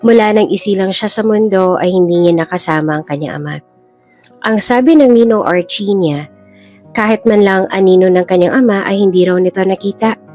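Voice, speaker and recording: A woman's voice: medium pitch, fairly quick, a little mellow. A woman with a Filipino accent reading a scripted dramatic story as a storyteller. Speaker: storyteller, channel host. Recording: music bed behind the voice.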